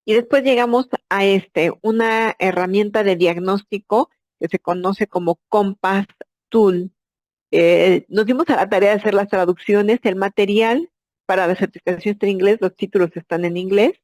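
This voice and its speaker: A woman's voice: medium pitch, steady tone, moderate pace, a little mellow, no room sound. Woman lecturing with dry close sound. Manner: explanatory, rhetorical questions, repeats for emphasis. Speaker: architect and instructor. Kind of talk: online masterclass lecture.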